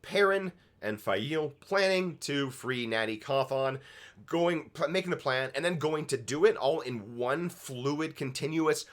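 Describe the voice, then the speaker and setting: A man's voice: fairly high pitch, a little slow, a bit bright. Emphatic man reviewing TV. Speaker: co-host of a TV episode reaction show. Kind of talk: casual opinionated TV review chat.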